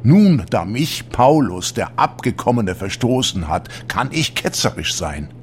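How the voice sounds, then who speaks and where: A troubled male disciple of the early church in a radio drama. He declaims slowly in archaic language, solemn and deliberate, with bitterness and indignation toward those who have cast him out. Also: mid-range voice, sing-song, a little hiss or hum. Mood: scornful, wounded. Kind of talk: literary radio drama monologue.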